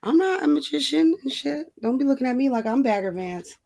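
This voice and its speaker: A woman's voice: high voice, animated, a little fast, vocal fry, mellow. A woman co-hosting a live stream in casual, unscripted chat, blunt and slangy in how she talks.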